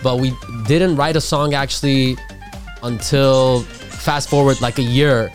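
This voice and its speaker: A man's voice: mid-range voice, measured pace. Laid-back male musician from Armenia, casual talker, medium voice, light music underneath. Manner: relaxed, casual slang. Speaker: guest recording artist. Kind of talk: conversational interview.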